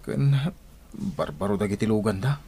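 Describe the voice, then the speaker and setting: An actor playing a poor, anxious village husband with a husky voice and a complaining manner in an Ilocano radio drama. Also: male voice, lowish voice, lively intonation, moderate pace, warm tone. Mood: grumbling. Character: poor village husband, anxious, grumbling about rich neighbors.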